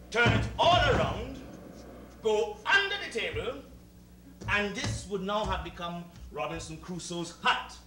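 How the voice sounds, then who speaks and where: An actor playing a proud, meticulous West Indian servant with a Caribbean accent, making formal, emphatic speeches in a theatrical style. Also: male voice, sits high. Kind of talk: theatrical two-hander stage acting. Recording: roomy distant stage recording with hiss.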